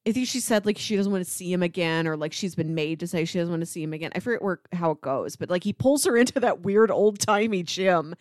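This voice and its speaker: A woman's voice: on the low side, fast, few pauses, darkish tone, no room sound, clean studio sound. A woman talking casually as a podcast co-host about film, explaining earnestly and peppering her talk with "like".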